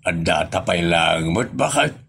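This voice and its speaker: A man's voice: deep voice, expressive, measured pace, gravelly, a bit bright, husky, heavily acted. A man with an Ilocano accent playing a weary, grumbling husband and father in a comedy audio drama.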